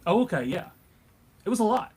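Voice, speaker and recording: A man's voice: sits high, sing-song, brisk, over a noisy crowd. A man acting as panelist and narrator of a comic book table read, with theatrical, emphatic delivery.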